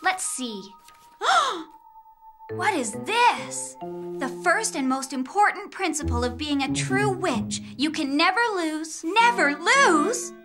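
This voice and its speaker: A woman's voice: extremely high pitch, very animated, slow pace, full character voice. A woman voicing a headstrong, mischievous young girl witch with a defiant, bratty manner in a cartoon. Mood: scheming. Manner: defiant, bratty, chanting rhymes with relish. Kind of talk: animated cartoon acting, English dub. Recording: loud music behind the voice.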